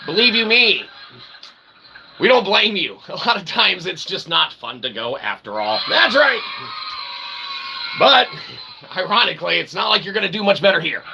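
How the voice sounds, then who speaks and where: A man with a fairly high, gravelly voice hosts a rambling comedy livestream. He plays the showman with self-deprecating patter and punctuates it with little questioning tags. The recording has clear background noise. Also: very animated, moderate pace, long pauses. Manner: mock-showman, self-deprecating, refers to himself as "we"; repeats tag phrases like "okay?" and "eh?". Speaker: livestream host.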